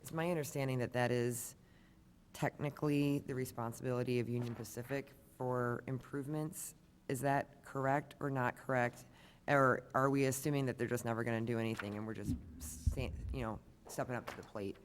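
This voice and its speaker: A man serving as an alderman, raspy-voiced, asking budget questions in a halting, methodical way at a council meeting. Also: mid-range voice, steady tone, a little hiss or hum. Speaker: city alderman. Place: council chamber.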